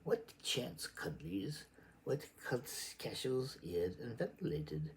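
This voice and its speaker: A man giving a theatrical, halting reading of literary prose, repeating words and shifting between murmurs and sudden emphasis. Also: mid-range voice, sing-song, a little hiss or hum. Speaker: reader. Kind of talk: dramatic amateur literary reading aloud.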